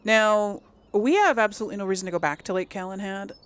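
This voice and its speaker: A woman's voice: medium pitch, fast talker, smooth. A woman giving casual video game commentary, thinking aloud as she chats to her audience. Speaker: host of a video game let's play.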